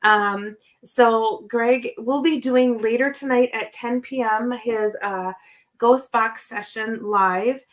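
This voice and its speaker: A woman with a raspy voice today, hosting a casual livestream from home and chatting with viewers by name, with lots of fillers. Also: on the high side, steady tone, slow. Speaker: livestream host, psychic reader. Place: home, indoors. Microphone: computer mic.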